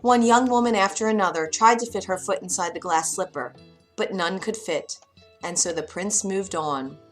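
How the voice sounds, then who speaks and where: A woman narrating a children's bedtime story in an expressive, dramatic way. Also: medium pitch, normal speed, faint music behind.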